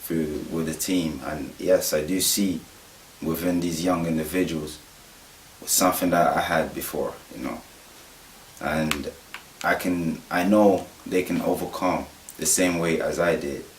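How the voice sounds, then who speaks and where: A man speaking thoughtfully and haltingly about mentoring young people. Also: very deep voice, steady tone, slight background noise. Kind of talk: reflective interview talk. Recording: echoey room, distant mic.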